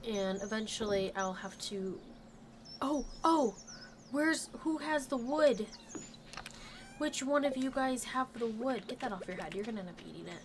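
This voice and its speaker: A woman's voice: fairly high pitch, animated, normal speed, noisy background. Slightly nasal woman with a cold. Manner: chatty, thinks aloud, talks to game characters. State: recovering from a cold. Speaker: gaming channel host. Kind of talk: casual let's play commentary. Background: game music.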